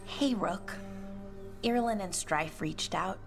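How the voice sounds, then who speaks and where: An actress voicing a gentle, hesitant, grieving young female adventurer in a video game. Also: mid-range voice, slow, music in the background. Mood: subdued, hesitant.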